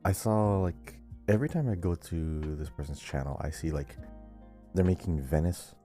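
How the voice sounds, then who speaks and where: A man's voice: low voice, normal speed, mellow, faint music behind. A man co-hosting a gaming podcast in casual conversation. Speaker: podcast co-host.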